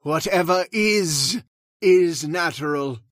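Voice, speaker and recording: A woman's voice: lowish voice, dry recording, studio quality. A woman playing a solemn older male clergyman in a radio horror drama, grave and ominous. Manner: grave, slow, warning. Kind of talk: old-time radio drama acting.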